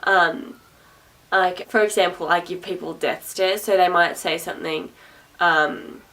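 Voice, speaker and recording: Gravelly, loud young woman. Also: fairly low pitch, steady tone, unhurried. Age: young adult. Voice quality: naturally loud, projecting voice. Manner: sarcastic, chatty, repeats herself, laughs often. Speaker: vlogger. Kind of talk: rambling casual talk to camera. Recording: voice a little distant from the mic.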